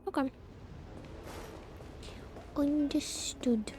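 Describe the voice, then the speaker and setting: A high-voiced woman gives casual live game commentary at an unhurried pace. She talks to herself in short, playful reactions, with game music clearly behind her. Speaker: game streamer.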